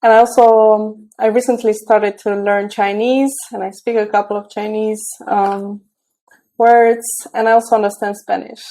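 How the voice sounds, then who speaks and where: A woman's voice: medium pitch, flat delivery, normal speed, dark tone, rough recording. A woman from Romania with a Romanian accent, a tech mentor talking on a video call with many fillers and restarts. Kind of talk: casual interview over video call.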